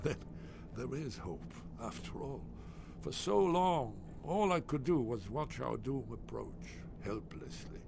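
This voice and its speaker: Casting: agitated elderly doomsayer for a fantasy game, urgent and dramatic, very animated delivery, noisy capture. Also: male voice, mid-range voice, slow pace, gravelly. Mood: grave, despairing. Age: older. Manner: fretful, dramatic, urgent muttering. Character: paranoid, agitated old man, hidden scholar of dragon slayers. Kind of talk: video game character acting.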